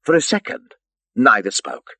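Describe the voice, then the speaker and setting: Guy narrating an audiobook, deep and really swoopy, theatrical storytelling, dry sound. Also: huge pitch swings, measured pace, sharp tone, dry recording.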